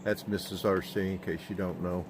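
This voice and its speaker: A man with an Oklahoma accent chatting on a live stream from his handheld phone outdoors, talking in a slow, halting monotone and answering viewers' comments. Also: deep voice, background noise. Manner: slow, drawling, halting with many fillers. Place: outdoors at sunrise.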